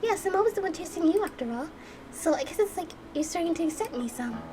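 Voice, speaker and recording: A woman's voice: extremely high pitch, animated, fast talker, background noise, voice pushed up. A woman voicing a timid, sweet young heroine of an anime visual novel, hesitant and polite. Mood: shy, curious. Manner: hesitant, polite, easily flustered.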